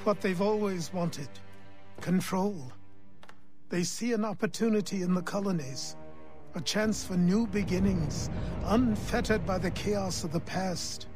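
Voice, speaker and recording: An actor playing a weary, gruff old mentor in a video game, a curt retired assassin who sighs and lectures. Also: male voice, high-pitched, unhurried, music in the background. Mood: grave, instructive. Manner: sighing, curt, dismissive, then instructive. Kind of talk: dramatic video game cutscene acting.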